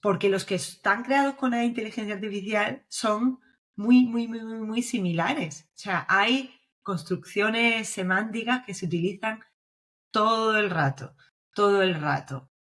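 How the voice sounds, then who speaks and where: A woman's voice: medium pitch, a little slow, fairly bright tone. A young woman from Spain with a Spanish accent, explaining her views as a guest in a casual podcast interview and often checking in with her listener. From Navarre, Spain. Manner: explanatory, checks in with frequent tag questions. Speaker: guest, developer advocate.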